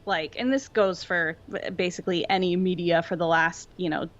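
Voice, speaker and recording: A woman's voice: on the low side, fairly even intonation, normal speed, fairly bright tone, muffled recording. A woman speaking as a podcast guest in informal, casual chat, often peppering her sentences with "like".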